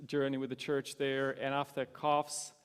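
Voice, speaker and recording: A man in his thirties who grew up in Romania, talking earnestly and joking about himself on a church stage. His voice has a long hall reverb behind it. He speaks at an everyday middle pitch. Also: flat delivery, measured pace, clear, resonant tone. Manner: self-deprecating humour, earnest. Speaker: guest pastor. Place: church stage before a congregation.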